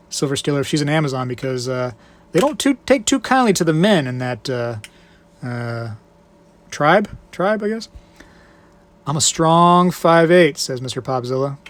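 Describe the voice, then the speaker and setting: Guy on a live stream reading chat comments, kinda higher voice, jokey and rambly. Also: expressive, moderate pace. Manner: joking, rambling, chuckles between comments. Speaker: livestream host.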